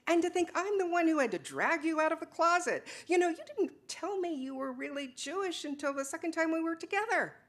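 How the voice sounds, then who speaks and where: A woman's voice: very high-pitched, animated, a little fast, hardly any pauses, pitched above the performer's own voice. Woman playing an intense, defiant translator wife. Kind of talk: staged dramatic reading.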